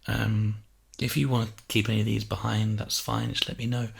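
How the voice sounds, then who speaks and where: Very creaky soft-spoken ASMR man. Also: fairly low pitch, little pitch movement, moderate pace. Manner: casual, reassuring, hesitant and chatty. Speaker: ASMR performer playing a personal shopper. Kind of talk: softly spoken ASMR sales role play. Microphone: binaural microphone, close. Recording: close binaural mic, clear background hiss.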